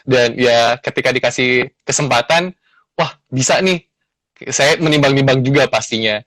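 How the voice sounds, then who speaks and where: A man's voice: mid-range voice, moderate pace, fairly bright tone, no room sound. A man in his thirties, a lawyer guest in a casual interview, speaking earnestly and reflectively with many fillers. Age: 30s.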